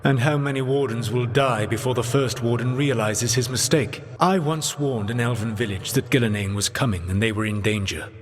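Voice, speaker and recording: An actor playing a grave, regretful ancient elven god in a video game, speaking in a measured, formal, solemn way. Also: male voice, medium pitch, close to an everyday voice. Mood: grim, haunted. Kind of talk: dramatic video game character dialogue. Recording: long echoing reverb on the voice.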